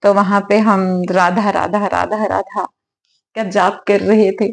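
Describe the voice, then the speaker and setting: A woman with a slightly hoarse throat, clearing it now and then, talking gently and affectionately in a casual devotional vlog at her home altar. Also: mid-range voice, little pitch movement, unhurried. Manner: gentle, affectionate, preachy at times. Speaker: devotional vlogger.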